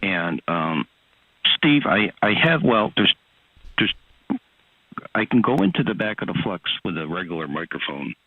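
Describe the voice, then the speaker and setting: Low-voiced man over a tinny radio. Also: very animated, measured pace, long pauses, no echo. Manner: technical, hesitant, clears throat. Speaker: ham radio operator. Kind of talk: casual radio chat.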